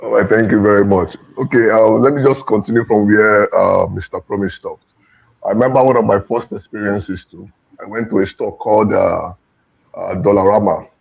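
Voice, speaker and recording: Husky low man, gravelly. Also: moderate pace. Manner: relaxed anecdotal, frequent fillers. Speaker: panel speaker. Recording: dull, muffled sound.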